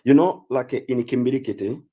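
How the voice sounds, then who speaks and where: A man with Central African roots, a guest in a livestream debate over a call mic, who talks haltingly and lists examples one at a time. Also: medium pitch, dark tone. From Central Africa (Uganda/Congo roots).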